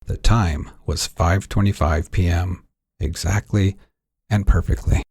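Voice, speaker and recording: A man narrates a piece of fiction in a scripted audiobook style. His voice sits low, with a husky, slightly rough grain. The sound is dry, with hardly any room in it. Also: steady tone, measured pace, darkish tone, no echo. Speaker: narrator, author reading own story.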